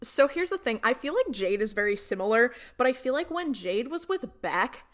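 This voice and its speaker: Young woman podcast host, voice on the high side, casual funny panel chat, acts stuff out as she tells it. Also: sing-song, moderate pace, fairly bright tone.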